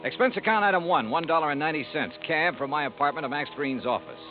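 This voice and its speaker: An actor playing a wisecracking freelance insurance investigator in a 1950s radio drama, narrating in a dry, wry first person. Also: male voice, fairly high pitch, lively intonation, quick pace, faint music behind, close to an everyday voice. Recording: dull 1950s radio broadcast.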